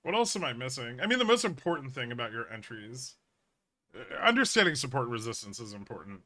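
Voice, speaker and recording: A man with a bright, forceful voice at a middle pitch talks as a livestream host. He explains in a casual, blunt and emphatic way, like an educator chatting with viewers, and swears freely. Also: very animated, fast talker. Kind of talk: casual livestream chat, answering viewer questions.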